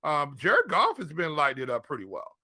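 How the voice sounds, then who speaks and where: A man's voice: on the high side, huge pitch swings, brisk, bright, forceful tone, dry recording, clean studio sound. A man co-hosting a sports show in casual chat, informal and colloquial, speaking straight to the listeners. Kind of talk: casual fantasy football chat.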